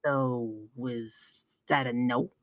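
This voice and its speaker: A woman's voice: rumbling low, steady tone, extremely slow, no room sound, studio quality, full character voice. A woman playing a frightened, defensive gamer dad who pleads and protests in exasperation at a comedy table read. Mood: defensive, exasperated.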